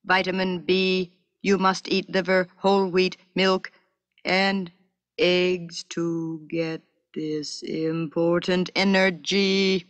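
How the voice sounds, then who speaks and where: Homemade teaching robot female narrator putting it on, hollow, slow and instructive, children's school story, dry sound. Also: on the low side, flat delivery, no echo. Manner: slow, deliberate, drawn-out announcing.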